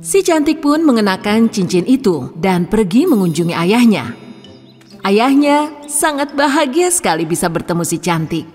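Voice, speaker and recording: A woman's voice: medium pitch, very animated, normal speed, a little mellow, long reverb tail, faint music behind. A woman narrating a scripted fairy tale for children as storyteller.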